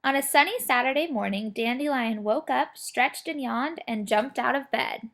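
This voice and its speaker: A woman narrates a children's story in a fairly high voice. She reads expressively, as a storyteller would. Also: moderate pace, a bit bright. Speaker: picture book reader. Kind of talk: children's story read aloud.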